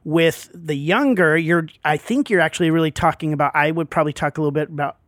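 A man's voice: on the high side, quick pace, fairly bright tone, no echo, studio quality. A man in his forties co-hosting a business podcast, easygoing and agreeable in casual talk. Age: 40s. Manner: easygoing, agreeable, laughs often. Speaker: podcast co-host.

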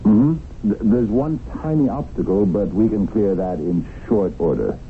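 An actor in a 1970s radio drama playing a smooth-talking crooked land dealer who needles coyly while feigning innocence. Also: male voice, fairly low pitch, normal speed, lightly acted. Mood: businesslike, ingratiating. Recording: tinny band-limited old radio broadcast, hissy.

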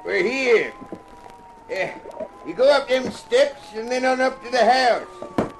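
Casting: old boatman, very high-pitched, curt and gloomy, 1950s radio mystery, noisy vintage recording. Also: animated, slow pace, heavily acted. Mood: taciturn. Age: older. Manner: terse, grunting, folksy replies. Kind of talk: 1950s radio drama acting.

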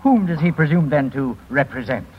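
Pompous attorney, slightly husky and high, needling, old radio courtroom drama. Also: male voice, animated, normal speed. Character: pointed, formal, needling male courtroom lawyer. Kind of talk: 1950s American radio drama acting. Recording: dull, reverberant vintage 1950s radio broadcast.

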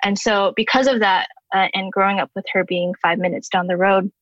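A soft-spoken woman in her twenties talks as a podcast guest in an even, flat tone. The sound is dull and lo-fi, with a dry, close feel. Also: mid-range voice, fairly quick, dry recording. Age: 20s. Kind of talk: reciting her own poem, then casual interview talk.

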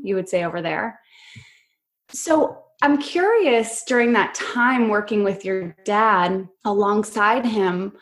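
A woman hosting a podcast interview, bubbly and playfully teasing her guest. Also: mid-range voice. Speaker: podcast host.

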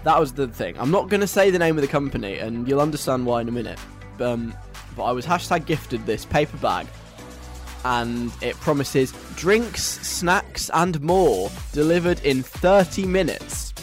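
A man's voice: medium pitch, lively intonation, moderate pace, faint music behind. A young British man hosting a radio show in a conversational, wry, chatty style.

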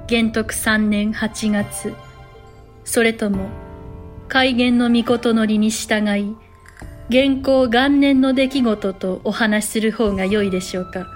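A woman playing a refined noblewoman who narrates history in a period drama, formal, polite and measured. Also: on the high side, fairly even intonation, faint music behind. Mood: composed.

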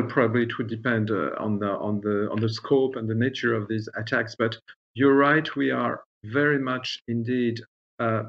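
A man with a French accent speaks in a husky, creaky voice, recorded with dull, lo-fi sound. He gives formal, diplomatic answers, pausing and repeating words as he searches for the right phrase. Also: mid-range voice. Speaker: diplomat being interviewed. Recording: dull, lo-fi audio.

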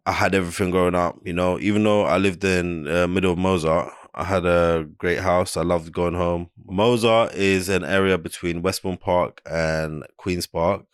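A young man from Iraq with a London accent, telling stories in a relaxed, slangy podcast chat. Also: low-pitched, normal speed, hardly any pauses, clean tone, no echo, clean studio sound. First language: Arabic. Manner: laid-back, slangy storytelling. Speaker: podcast co-host. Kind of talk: casual chat.

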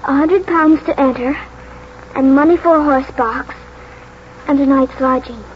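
Very high-voiced, eager young girl. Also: unhurried, slight background noise. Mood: hushed, earnest. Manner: breathless, exclaiming. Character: eager, horse-mad young English girl, dreamy and determined. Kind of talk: 1940s radio drama acting. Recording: muffled 1949 radio broadcast, music behind.

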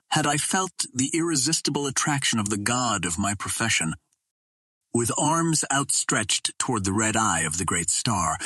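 A man reading an old adventure novel as an audiobook narrator, in a measured, dramatic storytelling manner. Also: on the low side, fairly bright tone, breathy edge, dry recording.

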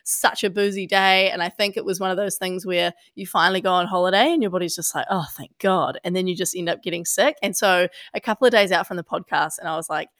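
A young adult woman from Australia co-hosting a podcast, talking casually with a husky voice from a head cold and many fillers. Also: fast, few pauses, a bit bright, no room sound, studio quality. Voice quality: husky, deep. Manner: chatty, laughs a lot, many fillers like "hey". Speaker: podcast co-host. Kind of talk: casual chat.

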